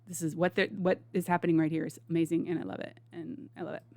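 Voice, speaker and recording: A woman's voice: sits low, flat delivery, fast. A woman hosting a casual reaction video, rambling and enthusiastic, with many restarts.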